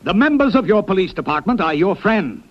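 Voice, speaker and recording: A male announcer reading a scripted public-service message in an earnest, measured way. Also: sits high, big pitch swings, faint music behind. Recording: dull lo-fi 1960s TV audio.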